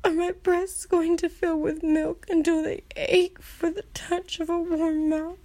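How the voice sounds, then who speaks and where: Woman acting very high-pitched, distressed proud demigoddess. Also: very slow, heavily acted. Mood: distraught. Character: proud, distressed adult demigoddess, a god's daughter. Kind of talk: first-person adult audio roleplay.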